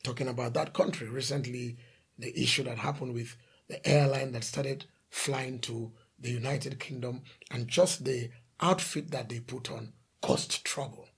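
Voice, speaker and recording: A man's voice: mid-range voice, steady tone, measured pace, husky. A man from The Gambia preaching a sermon as a pastor, emphatic, asking rhetorical questions and repeating lists of phrases.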